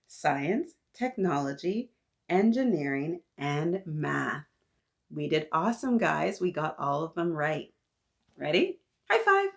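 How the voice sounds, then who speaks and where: A woman with a slightly low voice hosts a story time for little kids. She speaks like an encouraging teacher, putting questions to her young listeners and praising them as she reads aloud. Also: vocal fry. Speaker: children's story-time host. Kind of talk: read-aloud for young children, talking to little viewers.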